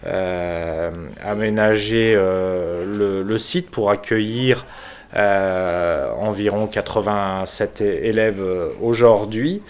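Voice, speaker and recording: A man from France who is a local mayor, giving an unscripted explanation in a halting, hesitant manner with frequent pauses. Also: fairly low pitch, fairly even intonation, smooth. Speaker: village mayor and local councillor.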